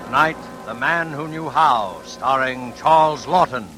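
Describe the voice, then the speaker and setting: Casting: male 1940s radio host, mid-pitched, formal and dramatic, introducing thrillers over prominent music. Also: unhurried. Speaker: announcer and host of a suspense show. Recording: vintage radio recording with music. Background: dramatic theme music.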